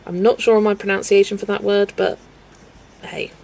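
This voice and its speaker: A woman's voice: medium pitch, moderate pace, vocal fry, a little hiss or hum. Chatty woman streaming while colouring. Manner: relaxed and chatty, laughs often, talks to herself while working. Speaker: livestream host. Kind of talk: casual chat with a live chat while colouring.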